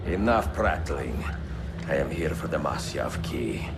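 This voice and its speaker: A very deep, grave Italian assassin. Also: male voice, lively intonation, echoey room, very noisy, exaggerated voice. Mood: cold, contemptuous. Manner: grave, measured, resolute. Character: stern, seasoned Italian master assassin. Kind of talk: dramatic video game cutscene acting. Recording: game audio under loud music.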